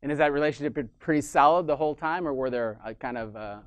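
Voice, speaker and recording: A man's voice: fairly high pitch, quick pace, clear, resonant tone, no room sound. Enthusiastic male interview host, dry sound. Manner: enthusiastic, repeats "amazing", some restarts. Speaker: podcast host. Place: Sydney, Australia. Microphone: video call.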